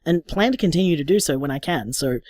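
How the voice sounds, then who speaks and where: An actor playing a sociable, flashy bar co-owner in a radio drama interview, chatty and upbeat with an Australian accent. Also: male voice, fairly high pitch, lively intonation, fast talker, darkish tone, no room sound, studio quality.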